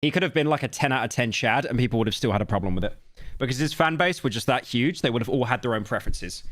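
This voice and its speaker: A man in his late twenties streaming a reaction video, talking casually and rambling, with self-deprecating asides and sudden loud outbursts. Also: medium pitch, lively intonation, fast talker, dry recording, studio quality. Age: late 20s.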